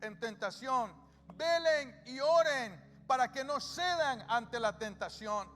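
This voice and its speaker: A man's voice: extremely high pitch, animated, slow pace, a bit bright. A male pastor with a Salvadoran Spanish accent, preaching a sermon and often asking his congregation questions that he answers himself. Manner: call-and-response questions to the congregation. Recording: reverberant church hall with music.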